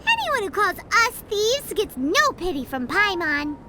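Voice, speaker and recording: A woman voicing a tiny, excitable fairy companion in a video game, with a squeaky childlike voice and a chatty, cheeky manner. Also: a little slow, noisy background, exaggerated voice. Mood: cheeky, scornful. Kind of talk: video game character dialogue. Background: music.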